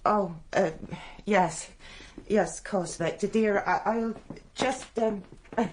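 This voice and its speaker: An actress playing a flustered, deferential wife in a radio drama, hesitant and fluttery. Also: female voice, medium pitch, takes it slowly, slight background noise, close to an everyday voice. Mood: nervous, deferential.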